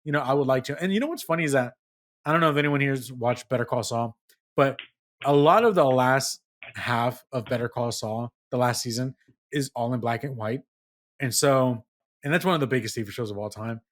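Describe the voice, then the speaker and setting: A man hosting a casual gaming podcast, steering the talk with questions in an animated way. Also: medium pitch, a little fast. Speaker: podcast host.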